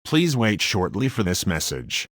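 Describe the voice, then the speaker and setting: A man's voice: on the low side, very animated, unhurried, a bit bright, no echo, clean studio sound, full character voice. A man playing a news anchor covering a disaster live. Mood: earnest.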